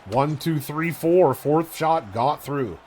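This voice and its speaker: A man giving play-by-play commentary on video game fights, calling each strike quickly and casually. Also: on the high side, expressive, darkish tone, a little hiss or hum. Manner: rapid blow-by-blow calling.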